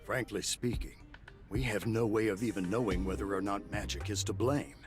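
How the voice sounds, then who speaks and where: A man playing a polite, businesslike official, speaking in a measured, formal way in a game cutscene. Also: lowish voice, fairly even intonation, over music, natural voice. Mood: polite, inquiring. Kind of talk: video game cutscene acting.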